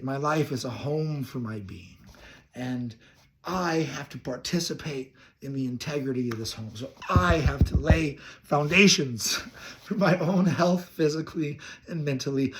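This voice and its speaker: A young man with a hoarse voice from laryngitis, talking casually and reflectively to the camera, rambling and correcting himself as he goes. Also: medium pitch, a little hiss or hum. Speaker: counseling student. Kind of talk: casual personal talk to camera.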